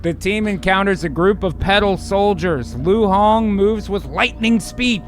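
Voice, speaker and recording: A man with a fairly high voice narrates an improvised radio drama as co-host. He is loose and casual, generally slow in his delivery, with faint music behind him.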